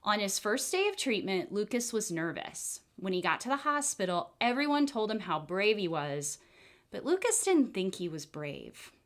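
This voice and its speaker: A woman reading a storybook aloud for children in an expressive read-aloud style. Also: mid-range voice, normal speed. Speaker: children's book reader.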